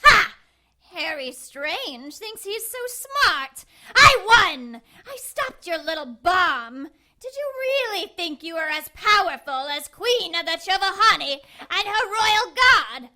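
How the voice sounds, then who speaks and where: A woman's voice: very high-pitched, big pitch swings, takes it slowly, heavily acted. A woman playing a ruthless witch queen in an audio drama, imperious and gloating as she commands henchmen. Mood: gloating, menacing. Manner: imperious, gloating, shouting orders.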